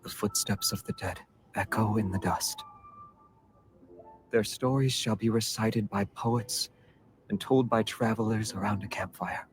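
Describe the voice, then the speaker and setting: An actor playing a gentle, soft-spoken knight in a romantic game, speaking calmly and tenderly. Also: male voice, lowish voice, lightly acted. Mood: solemn, reflective. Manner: calm, tender, measured. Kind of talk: English game voice acting, romantic. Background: music.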